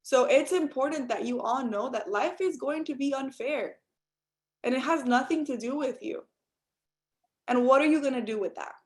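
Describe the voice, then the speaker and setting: A young adult woman from Cuba giving an earnest motivational talk on a group call, speaking straight to her listeners. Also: a little high. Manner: earnest, direct, addresses listeners as you all. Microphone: call audio.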